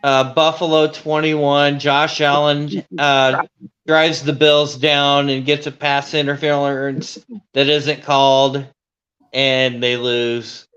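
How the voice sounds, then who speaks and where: Male panelist, monotone and unhurried, lo-fi line with faint hiss. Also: a little high. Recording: dull line with faint noise.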